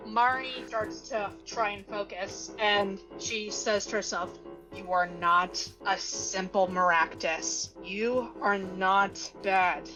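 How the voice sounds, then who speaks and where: Female roleplay player; unhurried delivery, medium pitch, music clearly underneath. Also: rough recording. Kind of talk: tabletop roleplay.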